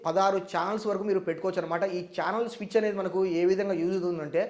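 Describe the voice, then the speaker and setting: A man's voice: high-pitched, fairly even intonation, very fast. A man narrating an unboxing and review, explaining things step by step as the host.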